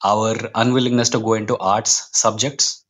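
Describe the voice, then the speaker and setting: A man's voice: on the low side, little pitch movement, normal speed, fairly bright tone. Reflective Indian man in podcast conversation. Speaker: guest, comic book writer.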